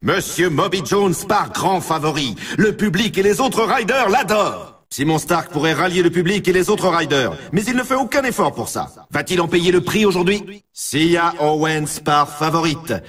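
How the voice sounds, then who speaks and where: A man playing an excited, upbeat snowboard race announcer in a video game, with showman commentary and a husky voice. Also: a little high, normal speed, few pauses, recorded from a distance. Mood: excited, anticipating.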